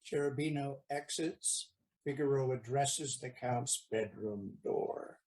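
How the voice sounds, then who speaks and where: A man's voice: medium pitch, takes it slowly, darkish tone, low-quality recording. A man narrating an audio play, reading out scripted stage directions.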